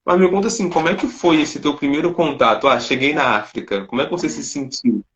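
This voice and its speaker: A man's voice: a little high, quick pace, fairly bright tone, muffled recording. A Brazilian man hosting an interview, talking in a reflective, conversational way. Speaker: host. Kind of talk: interview podcast.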